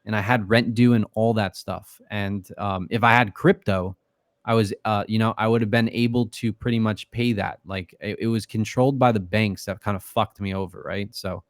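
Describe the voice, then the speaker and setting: Casual profane man, low voice, dry close sound, filler-heavy podcast guest. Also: fairly even intonation, normal speed, no echo. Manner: loose and profane, many fillers. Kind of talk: casual interview talk.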